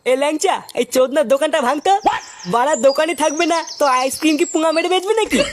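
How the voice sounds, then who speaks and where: A man's voice: very high voice, quick pace, slight background noise. A man voicing a brash, pushy local loafer who argues and bullies, in a comedy cartoon. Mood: belligerent. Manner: pushy, swearing, argumentative. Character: brash, foul-mouthed local loafer demanding things, bullying.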